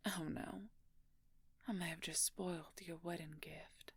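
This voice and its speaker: Woman acting a slow, creaky, menacing werewolf. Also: fairly bright tone, dry recording, exaggerated voice. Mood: mocking, bitter. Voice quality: breathy, creaky low murmur, often whispered. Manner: slow, smug, condescending, drawn-out menace. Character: menacing, possessive female werewolf, former court mage. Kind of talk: audio roleplay monologue addressed to the listener.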